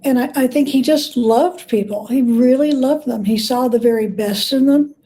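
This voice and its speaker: A woman speaking as an expert guest in an interview, telling stories in a plain-spoken, thoughtful, measured way. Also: on the high side, mellow. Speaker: guest, church historian and biographer. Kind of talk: conversational interview, storytelling.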